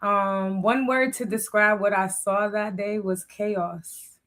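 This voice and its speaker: A woman's voice: mid-range voice, steady tone, slow pace, a bit bright. Plainspoken middle-aged woman from Boston. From Boston, USA. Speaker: talk show guest. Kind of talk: candid personal interview talk.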